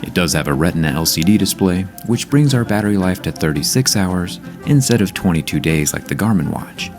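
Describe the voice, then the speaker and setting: A man's voice: deep voice, animated, fairly quick, darkish tone. A man narrating a scripted tech review in an upbeat, explanatory way, with background music playing. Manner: upbeat, explanatory, product-testing tone.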